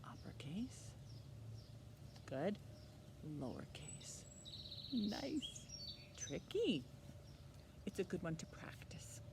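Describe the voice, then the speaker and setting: A woman's voice: fairly low pitch, sing-song, extremely slow, pauses often, in a whisper. A woman presenting a children's storytime lesson, encouraging young listeners with simple step-by-step instructions.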